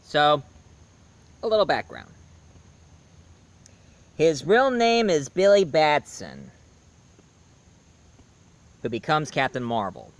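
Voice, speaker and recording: A man giving a casual spoken review, hoarse, rambling and correcting himself mid-sentence. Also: fairly high pitch, very animated, slow, pauses often. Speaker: movie and comics reviewer.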